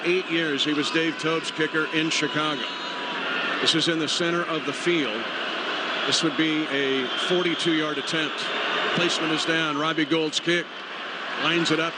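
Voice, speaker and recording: Male, American, radio football play-by-play, higher voice, noisy stadium broadcast. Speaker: play-by-play announcer. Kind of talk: live radio football commentary. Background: stadium noise.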